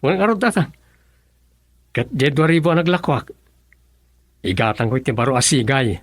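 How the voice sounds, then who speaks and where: A man's voice: mid-range voice, sing-song, normal speed, no room sound. A man with an Ilocano accent playing a dutiful young son who fishes, in a radio drama. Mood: cheerful, respectful.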